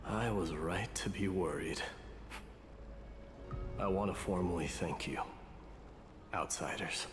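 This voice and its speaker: An actor playing a proud, grave warrior in a video game, with formal, measured and courteous speech. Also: male voice, low-pitched, roomy sound, long reverb tail. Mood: formal, grateful. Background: music.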